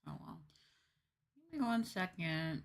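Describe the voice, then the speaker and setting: Woman, low voice, unhurried delivery, casual livestream chat, hesitant muttering. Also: lively intonation, gravelly. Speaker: VTuber livestreamer.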